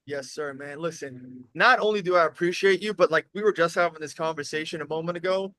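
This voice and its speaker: A man's voice: on the high side, a little fast, sharp tone, no echo. A man hosting a casual livestream interview, effusive and emphatic, with slangy talk. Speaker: livestream host. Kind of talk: hype-heavy casual interview.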